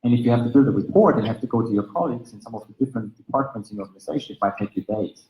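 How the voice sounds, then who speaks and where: A man's voice: medium pitch, rapid-fire, warm tone, echoey room, rough recording. A man from Austria with an Austrian German accent, German as his first language, giving a conference talk in an explanatory manner, leaning on fillers like "basically" and "right?". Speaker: conference speaker. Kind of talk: conference presentation. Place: conference venue.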